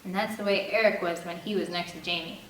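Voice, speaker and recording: A woman's voice: fairly low pitch, fairly even intonation, fast talker, distant mic. A woman reading a novel aloud, giving the first-person narration plenty of expression. The mic sits back in an echoey room. A faint air-conditioner hum stays underneath throughout. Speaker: audiobook reader.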